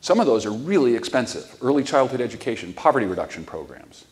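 A man's voice: medium pitch, very animated, fast, darkish tone. A middle-aged man giving a conference keynote lecture on a ballroom stage, explaining steadily with emphatic stresses. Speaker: keynote speaker.

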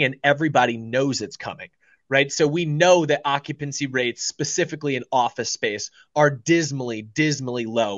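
A man's voice: medium pitch, a bit bright, no echo. Confident male guest in his 30s, emphatic, dry and slightly dull call audio. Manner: confident, emphatic, repeats words for stress. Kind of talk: casual investing talk. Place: small studio with branded backdrop, indoors. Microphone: studio mic on boom arm, video call.

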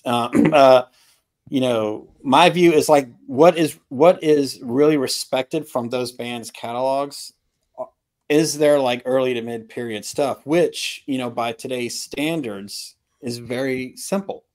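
A middle-aged man in a casual music discussion on a video call, halting and opinionated, often clearing his throat. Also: mid-range voice, darkish tone. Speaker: album review panelist. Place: home room with records, indoors.

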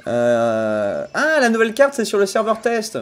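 A man's voice: high voice, a little slow, faint music behind. Mock-ranting young male streamer, headset. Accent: Sarthe French. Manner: mock-outraged ranting, laughing often, bursts of loud excitement. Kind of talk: casual livestream chat while playing a video game. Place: small room at home.

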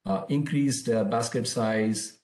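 A man's voice: medium pitch, slow, darkish tone. Hesitant man moderating a webinar. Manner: hesitant, many "uh" fillers. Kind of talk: webinar introduction. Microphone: video call. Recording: echoey room over a call.

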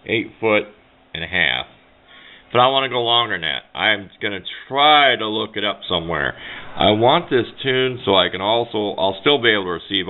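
A man giving casual hands-on commentary at a workbench, talking in a folksy, rambling way. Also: sits low. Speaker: hobbyist radio video maker. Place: indoor workbench. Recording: tinny, band-limited camera mic with noise.

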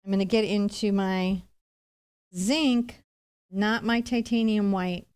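A woman's voice: mid-range voice, fairly even intonation, takes it slowly, a little mellow, smooth. A middle-aged woman giving a conversational step-by-step painting lesson, chatty and explanatory with reassuring asides. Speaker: painting instructor, host.

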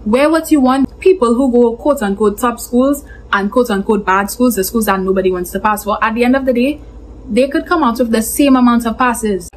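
A woman's voice: a little high, a little fast, poor audio. Young woman, Caribbean accent, bold opinionated vlogger, bedroom to camera, slight background hiss. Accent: Caribbean English. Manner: outspoken, animated, peppered with "like". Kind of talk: casual opinion vlog. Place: small bedroom, indoors.

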